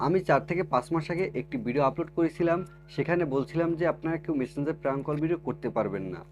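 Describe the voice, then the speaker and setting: A man's voice: a little high, racing, muffled recording, background noise. A man narrates a how-to video for viewers as its host. His delivery is instructional and scripted, built on repeated step-by-step phrasing. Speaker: tech tutorial video host.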